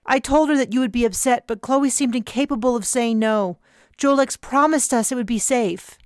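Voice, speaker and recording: A woman's voice: on the high side, moderate pace, a little mellow, no room sound, studio quality, voice pushed up, natural voice. A woman voicing a hissing beaked alien friend. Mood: anxious. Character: beaked alien female, old friend, defensive.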